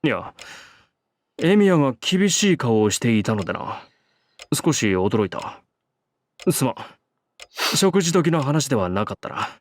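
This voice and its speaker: Actor as brisk, formal student council boy. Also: mid-range voice, sing-song, lightly acted. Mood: apologetic. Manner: stiff, archaic, monkish phrasing. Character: formal, old-fashioned, earnest male student council president.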